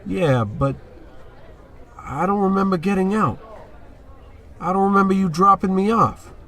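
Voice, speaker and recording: Guy as hapless boozy working stiff in old radio comedy, kinda high voice, slangy griping. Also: a little slow, fairly bright tone, some chatter behind. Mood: puzzled. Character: hapless hard-drinking working stiff, lovesick, griping sidekick. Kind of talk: old-time radio play comedy acting.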